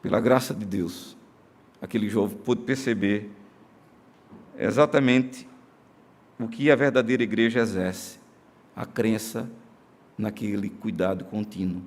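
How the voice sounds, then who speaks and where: A male pastor with a Brazilian accent preaching a sermon in a church, earnest, moving between emphatic declarations and soft, slow solemn passages. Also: on the low side. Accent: Brazilian Portuguese. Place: church sanctuary during a live-streamed service.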